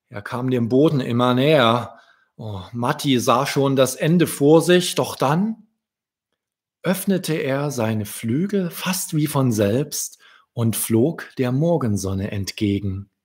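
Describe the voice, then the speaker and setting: A man in his thirties reading a story aloud to young children, gently addressing the listener directly. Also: medium pitch. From Germany. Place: small room with wood-panelled wall. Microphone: desk microphone with pop filter, close.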